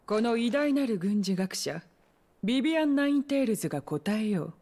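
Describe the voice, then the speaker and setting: An actress playing an eccentric, self-assured military scholar in a video game, cool and pompous with blunt phrasing. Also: female voice, fairly high pitch, lively intonation, normal speed, warm tone. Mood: wry, haughty. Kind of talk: Japanese video game cutscene acting.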